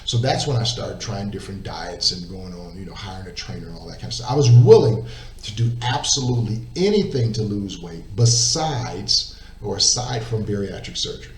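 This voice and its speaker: A man's voice: lowish voice, lively intonation, moderate pace. A middle-aged man telling his faith story as an interview guest, emphatic, repeating words and asking "right?". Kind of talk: earnest faith testimony. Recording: roomy echo, distant mic, faint noise.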